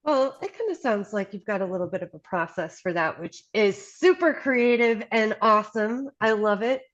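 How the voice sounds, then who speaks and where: A woman with an upbeat, enthusiastic manner hosting a promotional interview. Her voice sits in a middle pitch range, and the recording is a little dull. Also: animated, moderate pace. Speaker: interviewer, host.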